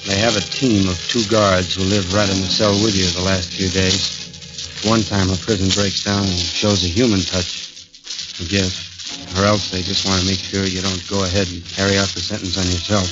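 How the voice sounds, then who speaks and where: A man plays a working-class American prisoner facing death, telling his story in a low, brooding voice. The delivery is plain and confiding, in old radio style. Loud music sits behind the dull 1940s recording. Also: level tone, normal speed, lightly acted. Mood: afraid, lonely. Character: haunted working-class condemned man recounting his ordeal. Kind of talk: 1940s radio acting, first-person narration.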